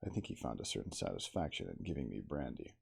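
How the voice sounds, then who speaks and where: A man reading a classic novel aloud as ASMR, in a soft, intimate, slow dramatic storytelling manner. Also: rumbling low, sing-song, gravelly, husky. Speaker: audiobook reader in character as the narrator.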